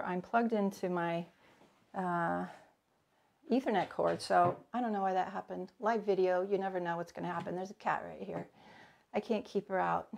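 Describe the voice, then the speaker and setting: Woman in her forties, gravelly voice, chatty live cooking teacher, home kitchen, camera across the counter. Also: medium pitch, slight background noise. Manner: chatty, greets viewers by name, thinks aloud. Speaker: cooking instructor.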